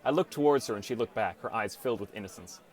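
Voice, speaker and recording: A man's voice: lowish voice, fast talker, darkish tone. A man reading scripted first-person story narration as an audiobook narrator.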